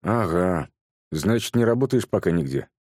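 A man voicing a stern, brisk businesswoman who speaks calmly and curtly. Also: sits low, no room sound, studio quality. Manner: calm, curt, matter-of-fact.

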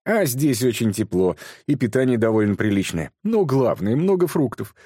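A man's voice: mid-range voice, very animated, normal speed, dark tone, no room sound, clean studio sound, pitched above the performer's own voice. A man voicing a boastful, chatty young woman who brags in a breezy, raised voice.

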